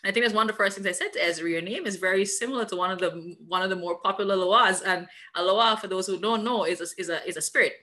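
A young woman from Guyana moderating a video call discussion, lively and curious. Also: mid-range voice, quick pace, few pauses.

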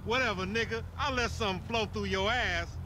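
A man's voice: high-pitched, fairly quick, pushed and bright, a little hiss or hum. A man voicing a brash, trash-talking young hustler in a video game, cocky, slangy and mocking. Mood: loud, taunting. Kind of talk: video game cutscene acting.

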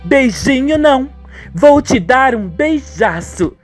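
Male actor as a lovestruck young woman, high-pitched, swooping, gushing, radio soap, music behind. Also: lively intonation, takes it slowly, full character voice. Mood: affectionate. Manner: cooing, tender and gushing. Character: affectionate young woman in love, soap opera.

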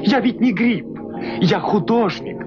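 Panicky, high-pitched captive painter. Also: male voice, a little slow. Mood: panicked, pleading. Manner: agitated, protesting. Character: panicky, pleading human painter held captive. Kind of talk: dubbed cartoon acting. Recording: muffled old film soundtrack with music.

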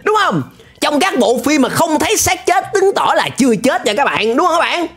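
Young man, Southern Vietnamese accent, excitable reaction host, swooping intonation, loud music behind him. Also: very high voice, moderate pace. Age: young adult. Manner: exclamatory, animated. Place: indoor room, gaming setup. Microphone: close desk microphone. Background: music and cartoon soundtrack.

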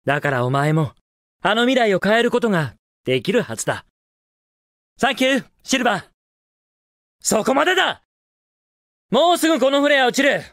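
A man's voice: extremely high pitch, a bit bright, dry recording, studio quality, exaggerated voice. A man voicing a cocky, upbeat, heroic young hedgehog in a Japanese video game, with a confident, casual, brash delivery. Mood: determined. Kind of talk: Japanese video game voice acting, short clips.

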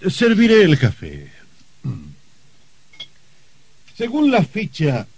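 A man's voice: fairly high pitch, a little mellow, exaggerated voice. An actor playing a wry, confident rich man facing his own murder, in theatrical old radio drama style. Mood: polite, controlled. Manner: theatrical, deliberate, sardonic. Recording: vintage radio drama recording.

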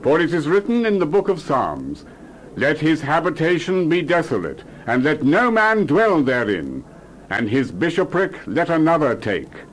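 An actor playing a commanding, fervent apostle who preaches solemnly in a dramatized Bible reading. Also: male voice, a little high, sing-song, a little slow, a little hiss or hum, poor audio. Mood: solemn, urgent. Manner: declamatory, solemn.

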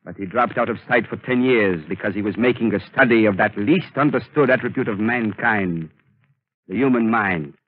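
Smooth, menacing mastermind villain with a lowish voice on a tinny old radio recording. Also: male voice, normal speed. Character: scheming male mind-controlling villain. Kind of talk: 1930s radio drama acting.

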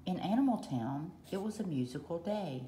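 A woman reading a children's picture book aloud in short, deliberate rhyming phrases, recorded at a table at home. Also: lowish voice, lively intonation, mellow. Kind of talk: read-aloud storytelling. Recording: roomy echo, faint background noise.

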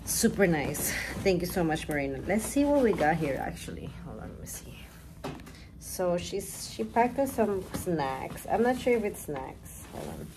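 A young woman chatting to her phone camera in a hotel room, gushing and tired, her voice trailing off softly at times. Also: fairly low pitch, big pitch swings, normal speed. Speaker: vlogger. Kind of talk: casual travel vlog. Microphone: phone at arm's length. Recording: noisy phone recording.

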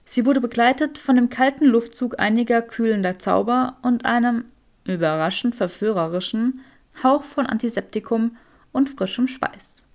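A woman's voice: a little high, moderate pace. A woman reading scripted fiction as an audiobook narrator.

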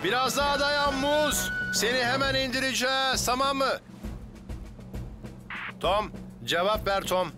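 A dependable hero firefighter in a children's cartoon. His voice sits high, reassuring and decisive. Loud music runs underneath. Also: a little slow, long pauses. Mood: urgent, decisive. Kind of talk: animated children's cartoon dub. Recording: loud music, vehicle noise behind.

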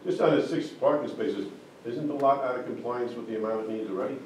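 Man, low mellow voice, probing questions, very echoey, distant mic. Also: fast, a little hiss or hum. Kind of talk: municipal meeting discussion.

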